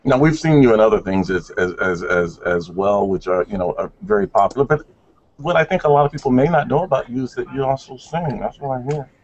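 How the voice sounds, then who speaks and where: A man's voice: medium pitch, lively intonation, brisk, highs cut off, a little hiss or hum. A middle-aged American man from Chicago hosting an interview in a chatty, playful way, with frequent restarts. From United States. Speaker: news anchor host. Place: office studio set, indoors.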